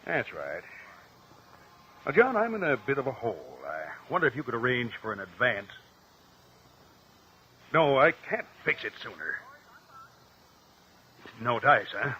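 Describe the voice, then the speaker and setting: An actor playing a blustering, corrupt local politician who sounds cornered and exasperated, in a vintage radio crime drama. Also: male voice, mid-range voice, very animated, measured pace, pauses often, sharp tone, lo-fi sound. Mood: strained, ingratiating. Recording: noisy old radio drama recording.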